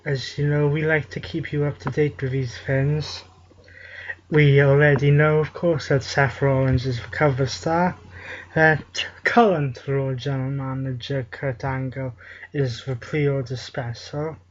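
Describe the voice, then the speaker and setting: A man's voice: mid-range voice, flat delivery, measured pace, lo-fi sound, a little hiss or hum. A man co-hosting a wrestling talk show in casual chat, making jokey wordplay with names.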